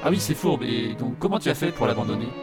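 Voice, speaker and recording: A man's voice: medium pitch, animated, fast talker, over music, close to an everyday voice. A man playing a bumbling, literal-minded all-knowing narrator in a comic audio drama, hesitant yet mock-pompous. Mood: puzzled.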